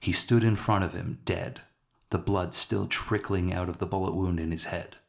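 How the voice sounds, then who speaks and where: A man with a fairly low voice narrates a dramatic piece of fiction. His delivery is scripted and measured, with a somber storytelling tone. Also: fairly even intonation, gravelly. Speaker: author narrating his own short story.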